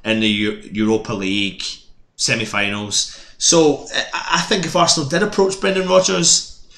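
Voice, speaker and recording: A man's voice: medium pitch, steady tone, normal speed, gravelly, sharp tone, roomy sound. A man with a Scottish accent chatting as a fan panelist on a football discussion show, jumping in with jokes and stammering restarts. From Scotland. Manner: jokey, jumps in with stammering restarts.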